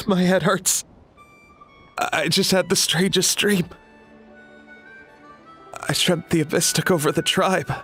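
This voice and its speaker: A man's voice: high-pitched, a bit bright, husky, exaggerated voice. An actor playing a devoted, battle-haunted young male warrior in a video game. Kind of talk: scripted video game voice acting.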